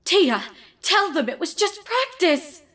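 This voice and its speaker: A woman performs a dark pony princess from a storybook, a theatrical villainess. The voice is pushed high and loud, haughty and indignant, full of imperious temper. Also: very animated, takes it slowly, full character voice. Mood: frantic pleading.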